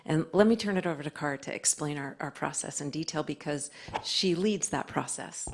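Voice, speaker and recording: Deep, gravelly-voiced woman. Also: noisy background. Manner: halting, with fillers and restarts. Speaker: county librarian on a panel. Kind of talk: panel discussion answers. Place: live library event.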